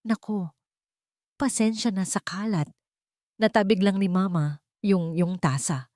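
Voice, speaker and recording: A woman's voice: medium pitch, measured pace, darkish tone, dry recording, clean studio sound, close to an everyday voice. A woman with a Filipino accent voicing a cruel, two-faced girlfriend in an audio story, haughty and theatrical. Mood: falsely sweet. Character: cruel, two-faced young girlfriend scolding or sweet-talking. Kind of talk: audio story character acting.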